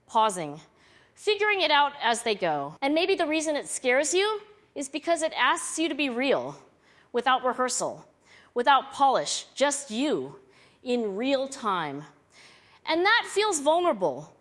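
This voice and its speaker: A woman narrates a reflective self-help script in a high voice. Her pitch moves in lively swings, and she sets out each short phrase deliberately. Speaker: video narrator.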